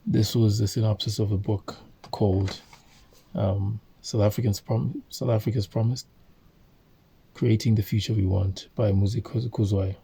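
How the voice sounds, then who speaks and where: A man from Zambia reading a book aloud and commenting on it, halting often with fillers and self-corrections. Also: on the low side, steady tone, creaky, dark tone, slight background noise, low-quality recording.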